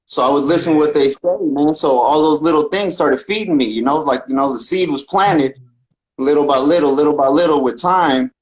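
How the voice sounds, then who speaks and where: A man with a Northern California accent and a slightly higher-than-average voice. He talks like a podcast guest sharing his life, earnest and casual, with plenty of street slang. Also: fairly quick, rough recording. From San Francisco Bay Area, United States. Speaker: podcast guest, talkbox musician. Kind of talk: casual personal storytelling.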